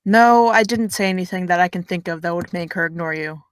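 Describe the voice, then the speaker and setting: A woman's voice: lowish voice, brisk, clear, resonant tone, no echo, lightly acted. Young woman doing a snarky teen girl with zero filter, teasing, super dry sound. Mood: sardonic. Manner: sarcastic, blunt. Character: blunt teasing high school girl, no filter. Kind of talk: audio drama.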